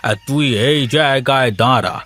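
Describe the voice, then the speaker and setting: A man voicing an unhurried older village uncle in a cartoon story. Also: mid-range voice, a bit bright, lightly acted. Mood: hearty. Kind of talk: Bengali cartoon dialogue.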